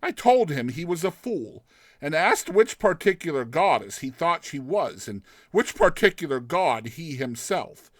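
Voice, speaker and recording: A man narrates a short story in dramatized audiobook style. His voice sits fairly high and moves a great deal in pitch, with a bright tone and a dry, close sound. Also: sing-song, measured pace, dry recording.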